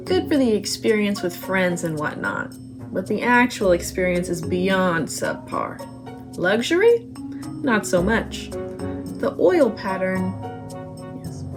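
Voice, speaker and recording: A woman's voice: medium pitch, big pitch swings, slow. Woman's theatrical review reading over music. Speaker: guest reader of online reviews. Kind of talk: dramatic reading of a complaint review. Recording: clear music behind the voice.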